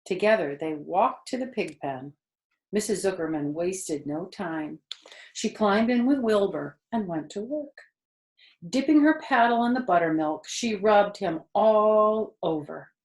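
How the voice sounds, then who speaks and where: A woman storyteller reading a children's book expressively, lingering deliberately on the ends of phrases. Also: medium pitch, lively intonation, a little mellow, reverberant, low-quality recording.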